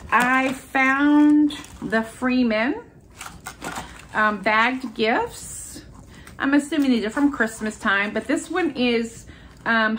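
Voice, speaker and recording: High-voiced, chatty American woman vlogger. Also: a bit bright, slight background noise. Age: middle-aged. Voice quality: congested, stuffy. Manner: chatty, enthusiastic. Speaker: haul video host. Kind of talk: casual chat showing shopping finds. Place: furnished room at home, indoors.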